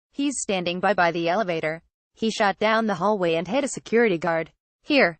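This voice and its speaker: A woman's voice: mid-range voice, no room sound. A woman reads scripted news aloud as a narrator, in a synthetic, literal manner. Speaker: news reader.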